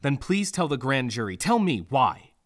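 A man's voice: medium pitch, big pitch swings, normal speed, lightly acted. A man playing an insistent prosecutor in a courtroom audio drama, emphatic and interrogating. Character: insistent, pressing male prosecutor.

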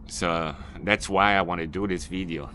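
A Swedish man talks straight to camera in unscripted English, his voice a little low and his advice circling back on itself as he admits to some annoyance. Faint city ambience hums behind him out on the street. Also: steady tone, moderate pace, bright, forceful tone, a little hiss or hum. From Sweden. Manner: rambling, repetitive, mildly annoyed advice-giving. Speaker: vlogger, business owner. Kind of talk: unscripted vlog monologue.

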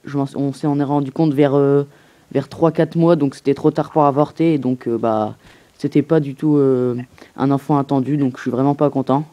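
A man making a prank phone call, posing as an aggrieved customer and complaining in a halting way. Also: mid-range voice, fairly even intonation, warm tone. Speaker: prank caller.

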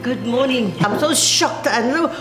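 An older woman with a South African accent, a retiring teacher, speaking over the phone to a radio host, excited and laughing. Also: a little high, reverberant, music in the background. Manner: excited, laughing, slow and drawn out. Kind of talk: surprised phone call. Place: staff room indoors.

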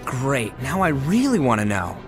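Hesitant apprentice in a video game, questioning, animated voice, music behind him. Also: male voice, mid-range voice, normal speed. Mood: uncertain. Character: earnest, uncertain young male apprentice. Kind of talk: animated game cutscene dialogue.

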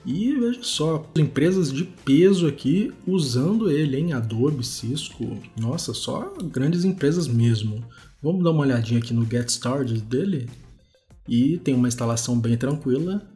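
Man, Brazilian accent, soft-edged mellow tone, medium pitch, casual screencast host, faint music behind. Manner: informal, conversational, demonstrating as he goes. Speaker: host of a web development video show. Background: background music.

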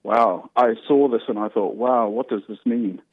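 A male caller from New Zealand, with the accent to match, talks earnestly and casually, hesitating and repeating himself. His mid-pitched voice comes over a narrow, tinny phone line. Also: steady tone, normal speed, slightly rough, no echo.

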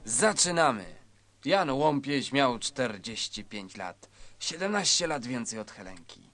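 A man narrating a spoof crime story in a theatrical, deliberate, mock-dramatic style. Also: medium pitch, animated, a bit bright, no echo, faint music behind.

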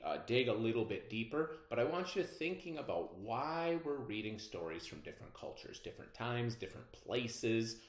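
A middle-aged American man teaches reading to young children. His delivery is very animated and unhurried, with expressive questions aimed at the listeners. The room around him sounds echoey. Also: medium pitch. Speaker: elementary school teacher. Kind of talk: read-aloud reading lesson for children. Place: indoors, roomy-sounding room.

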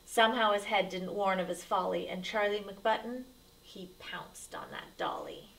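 A woman reads a rhyming picture book aloud for children. Her delivery is dramatic and emphatic, at a medium pitch. She sounds a little distant from the microphone. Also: wildly swooping pitch, moderate pace, gravelly, a bit bright, a little hiss or hum. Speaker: read-aloud storyteller.

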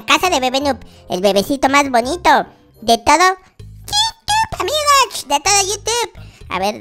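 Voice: sits high, bright, forceful tone, exaggerated voice. A performer voicing a whiny, naive baby boy in a Minecraft roleplay, with childish wording, exclamations. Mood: excited, boastful, then silly, chatty. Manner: childish diminutives, exclaiming, addresses viewers constantly. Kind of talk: in-character Minecraft roleplay commentary.

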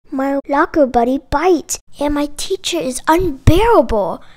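A woman reading a children's picture book aloud in an expressive, emphatic storytelling manner. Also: high voice. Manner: expressive, emphatic delivery with dramatic exclamations. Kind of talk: animated children's read-aloud.

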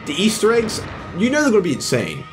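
A man's voice: high voice, huge pitch swings, quick pace. A young man giving casual, enthusiastic, slangy reaction commentary. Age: young adult. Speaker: reaction video host. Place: indoor room, over game cutscenes. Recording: game audio and music behind.

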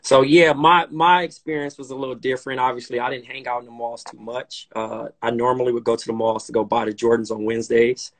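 A man in his thirties from Compton hosting a casual interview over a phone live stream, relaxed and hesitant, often repeating his words. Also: mid-range voice, fairly quick, hardly any pauses. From Compton, California. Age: 30s. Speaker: podcast host. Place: dim room indoors, on a live video call. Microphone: phone camera, split-screen live stream.

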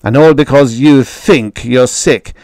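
A man playing a domineering father in a story, harsh, forceful and impatient. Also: mid-range voice, slow, no room sound, pitched above the performer's own voice. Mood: bitter anger. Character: stern, angry, domineering father and robot maker.

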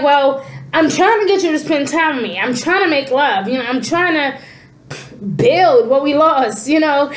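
Congested woman in her forties, high-pitched, casual reader to camera in a roomy-sounding small room. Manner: animated hand gestures, frequent "you know" and "okay". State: congested, stuffy nose. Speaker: tarot reader. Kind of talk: casual spiritual reading to camera. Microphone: camera at desk distance. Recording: echoey room.

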